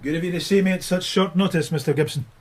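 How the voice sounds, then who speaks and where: An actor playing a tired, dogged police inspector with a Scottish accent, dry and probing, in a radio drama. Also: male voice, high voice, fast talker. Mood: measured, probing.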